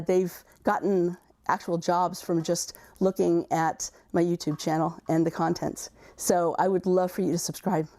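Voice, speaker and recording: A middle-aged woman with a raspy voice from recent illness, a career coach giving conversational livestream advice and often repeating words as she thinks. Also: fairly low pitch, dark tone.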